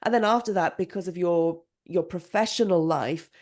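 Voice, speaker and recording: A woman hosting an English-learning podcast, speaking in an enthusiastic, expressive way as she introduces and questions her guest. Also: on the low side, normal speed. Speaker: podcast host. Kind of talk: podcast interview.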